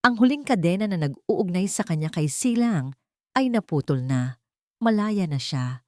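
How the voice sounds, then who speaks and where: A woman's voice: lowish voice, animated, normal speed, dry recording, clean studio sound. A woman with a Filipino accent reading a scripted dramatic story as its narrator.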